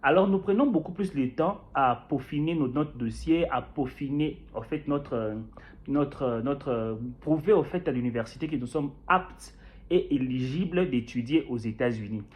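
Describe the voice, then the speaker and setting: A man's voice: mid-range voice, normal speed. Hesitant Congolese man, dull lo-fi sound. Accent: Congolese French. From Kinshasa, DR Congo. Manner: hesitant, many fillers and restarts. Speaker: YouTuber sharing experience. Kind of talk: casual talk to camera.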